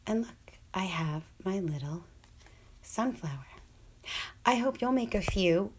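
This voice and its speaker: A woman with a scratchy voice talks through a paper-craft project at a slow, unhurried pace. She chats casually, apologizes often and murmurs half to herself as she works. Faint clicks of tools and paper sound behind her. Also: mid-range voice, expressive, a little mellow. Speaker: crafting demonstrator. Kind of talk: casual hands-on craft tutorial.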